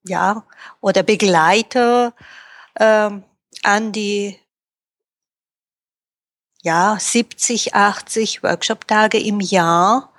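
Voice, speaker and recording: A middle-aged woman who grew up in Japan and Germany, a guest interpreter who speaks thoughtfully with frequent filler pauses. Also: medium pitch, fairly bright tone. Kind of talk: podcast interview.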